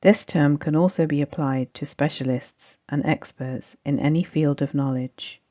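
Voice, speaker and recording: A woman's voice: low-pitched, steady tone, moderate pace, mellow. A woman reading a scripted religious study text aloud as narration. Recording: dull, muffled lo-fi recording.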